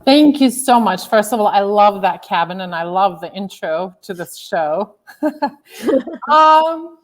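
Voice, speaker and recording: A woman from Turkey, around her thirties, chatting as an interview guest. Her voice sits at an ordinary middle pitch. She tells her story loosely, full of fillers and restarts. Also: lively intonation, unhurried. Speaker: guest, author and transformational coach. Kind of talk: casual interview chat.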